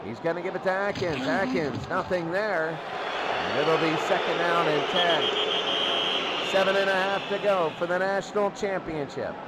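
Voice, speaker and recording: A man's voice: high-pitched, normal speed. A man doing live play-by-play football commentary in short, clipped phrases, often repeating his calls. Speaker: play-by-play sports announcer. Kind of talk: excited live American football radio commentary. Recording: loud crowd roar and heavy noise.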